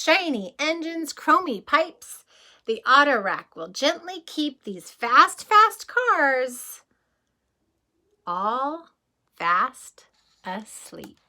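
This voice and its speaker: A woman kindergarten teacher reading a rhyming picture book aloud in a slow, sing-song way, pausing to ask the children gentle questions. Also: high voice.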